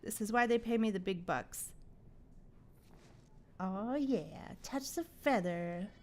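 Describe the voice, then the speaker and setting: A woman's voice: mid-range voice, takes it slowly, a little mellow. A woman livestreamer giving casual, chatty gameplay commentary and reacting aloud to the game. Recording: game music behind.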